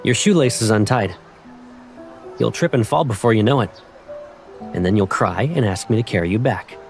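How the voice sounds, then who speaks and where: A man's voice: fairly low pitch, lively intonation, normal speed. A man playing a teasing, affectionate young man, a protective childhood friend, in a fan dub of a romance game. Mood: playful, caring. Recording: faint music behind.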